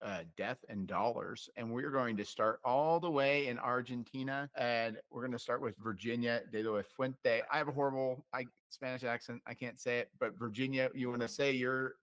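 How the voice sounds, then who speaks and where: A man hosting a podcast, talking informally with quick interjections and self-corrections. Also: mid-range voice, steady tone, a bit bright. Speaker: podcast host. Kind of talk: casual chat.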